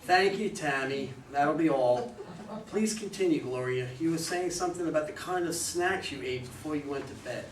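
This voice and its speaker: A man's voice: fairly high pitch, moderate pace, close to an everyday voice. A man playing a composed, knowing consultant who questions and instructs his clients in a staged play reading. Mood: composed, probing. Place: room at a live readers' theater reading. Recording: echoey room, distant mic, faint noise.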